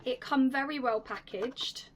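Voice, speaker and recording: A young woman hosting a casual unboxing vlog, chatty and enthusiastic, her voice slightly raspy and going hoarse. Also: high voice, measured pace, a little hiss or hum. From United Kingdom. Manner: chatty, enthusiastic, drops to soft whispers at times. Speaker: YouTube host.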